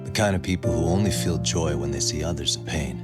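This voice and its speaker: An actor playing a calm, protective adult detective with an easygoing, reassuring manner in an English game dub. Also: male voice, rumbling low, a little fast. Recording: prominent guitar music behind. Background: plucked guitar music.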